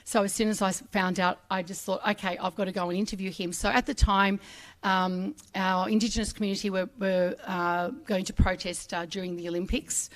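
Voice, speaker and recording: A woman's voice: medium pitch, level tone, a little fast. A middle-aged Australian woman, raised speaking Arabic, giving a chatty, emotional personal speech at a public ceremony, acting out voices in her stories.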